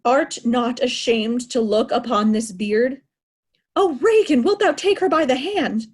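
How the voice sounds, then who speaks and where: A woman's voice: on the high side, husky. A woman playing a proud aging king, raging at ungrateful daughters in a declamatory Shakespearean style. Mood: indignant. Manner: declamatory, deliberate, anguished. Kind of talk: Shakespearean reader's theatre acting.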